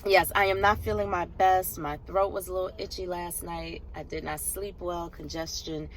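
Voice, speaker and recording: Woman, middle-aged, stuffy and hoarse, low-ish voice, chatty vlog talk, faint car hum. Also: steady tone, moderate pace, poor audio. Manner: chatty, upbeat, speaks to viewers as "tribe". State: congested and hoarse from a cold. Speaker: vlogger. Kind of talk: casual vlog chat to camera.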